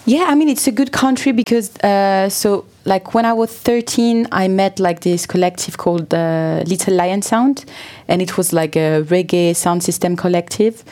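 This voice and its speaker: Relaxed Swiss woman singer. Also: mid-range voice, normal speed. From Switzerland. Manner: relaxed, chatty, lots of "like" fillers. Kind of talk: radio interview answers.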